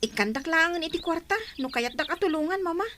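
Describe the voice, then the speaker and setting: Very high-voiced lovestruck young woman played by an actress. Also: expressive, a little fast, noisy background. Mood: pleading. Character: young woman, lovestruck, stubbornly content in a poor hut. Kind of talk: radio comedy drama acting. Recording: music under the voice.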